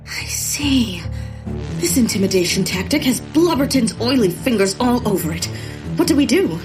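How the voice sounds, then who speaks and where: Woman playing an earnest, animated lady sleuth. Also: fairly high pitch, normal speed, over music, close to an everyday voice. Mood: concerned, urgent. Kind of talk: comic audio drama acting.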